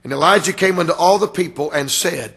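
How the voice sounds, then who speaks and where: A man preaching a revival sermon with a raspy voice, building to loud, emphatic, drawn-out delivery. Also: a little high. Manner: rises to loud emphatic preaching, stretched words. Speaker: guest preacher.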